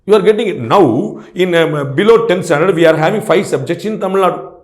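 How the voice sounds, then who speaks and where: Guy arguing policy, kinda high voice, rhetorical questions, echoey room. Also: fairly quick, a little mellow. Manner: rhetorical questions, frequent fillers and restarts. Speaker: political guest commentator. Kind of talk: interview answers, argumentative.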